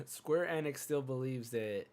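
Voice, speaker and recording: A man hosting a casual gaming podcast, chatty and rambling, a bit hoarse from a cold. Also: medium pitch, slow, darkish tone. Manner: chatty, rambling, self-interrupting. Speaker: podcast host.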